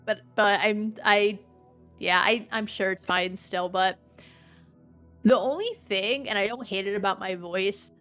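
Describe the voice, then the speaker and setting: A woman in her thirties streaming a game, with a dry, sometimes monotone-sounding voice, chatting and rambling. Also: medium pitch, a little slow. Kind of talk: casual gameplay commentary. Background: faint game music.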